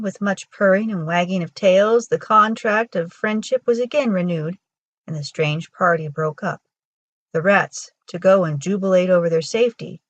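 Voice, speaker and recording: A woman with a husky voice reading a children's folktale aloud as a storyteller. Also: on the low side, no echo. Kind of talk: scripted storybook narration for children.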